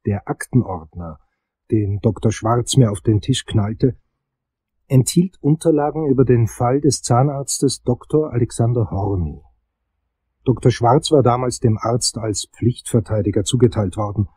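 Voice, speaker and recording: A husky, understated private investigator. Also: male voice, mid-range voice, a little slow, mellow, dry recording. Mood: matter-of-fact. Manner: understated, matter-of-fact. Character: dry, unimpressed male private investigator narrating his case. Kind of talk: radio drama acting and scripted narration.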